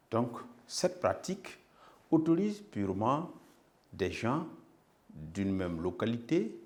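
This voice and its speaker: A male history teacher, giving a didactic lesson, dictating and repeating phrases with frequent check-in tags. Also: lowish voice. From Burkina Faso. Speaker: middle school history teacher.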